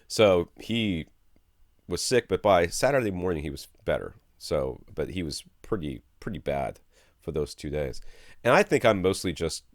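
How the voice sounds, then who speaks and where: Deep animated sick podcast host. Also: male voice, normal speed, no room sound, studio quality. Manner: rambling, animated, easily amused. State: under the weather, with a cough drop in his mouth. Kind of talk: casual podcast chat. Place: small home studio room. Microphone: studio mic with headphones.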